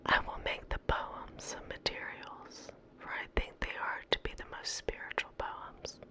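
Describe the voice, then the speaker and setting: A woman speaks entirely in a soft whisper, as an ASMR host reading poetry. Her delivery is very slow and gentle, with pauses between short phrases.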